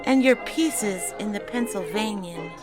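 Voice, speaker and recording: An actress playing a spirited young 1920s woman who talks in brisk, teasing period slang. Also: mid-range voice, expressive, natural voice. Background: music.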